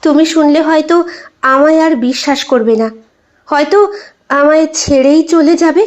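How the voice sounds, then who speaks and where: A woman's voice: sits high, normal speed, dull audio, close to an everyday voice. A woman voicing a guilt-ridden, pleading wife in an audio story, with a hesitant, tearful tremble. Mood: fearful confession. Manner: hesitant, apologetic, earnest. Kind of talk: dramatized audio short story.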